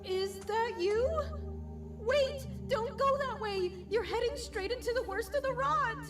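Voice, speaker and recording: Very high, faltering, confused pony. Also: female voice. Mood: anxious calling. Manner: halting, faltering, disoriented. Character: confused, decaying female pony, clever but forgetful. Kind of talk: audio drama acting. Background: background music.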